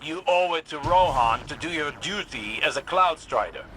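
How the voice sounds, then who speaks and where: A man voicing a stern, measured mentor. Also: fairly high pitch, a crowd in the background, close to an everyday voice. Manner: calm, measured, commanding. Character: stern wise male mentor and mission overseer. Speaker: mission guide character in a video game. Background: game music.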